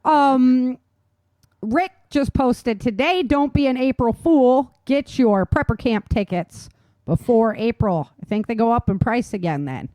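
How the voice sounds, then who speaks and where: A woman's voice: a little high, lively intonation, a little slow, warm tone, dry recording. A woman co-hosting a show in a casual, chatty way, with an informal and enthusiastic manner. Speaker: podcast co-host and novelist. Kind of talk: casual chat.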